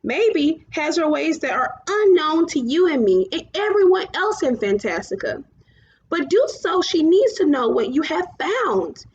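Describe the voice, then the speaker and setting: A woman voicing a gentle, earnest male luck dragon in a storybook, coaxing his companion. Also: high-pitched, big pitch swings, a little slow, pitched above the performer's own voice. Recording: muffled, clearly noisy home recording.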